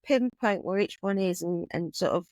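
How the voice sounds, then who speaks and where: A woman's voice: lowish voice, moderate pace, a little mellow, dry recording. An English woman from England, a guest artist in a casual chat, speaking in a meandering, hesitant way. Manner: meandering, hesitant, with "you know" and "sort of". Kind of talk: casual conversation.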